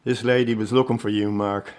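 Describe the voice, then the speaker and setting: A man's voice: lowish voice, little pitch movement, fairly quick, darkish tone, close to an everyday voice. An actor playing a stubborn, wounded older father with an Irish accent, in a naturalistic radio drama. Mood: wary, indignant. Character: stubborn, wounded old father of a traditional family circus.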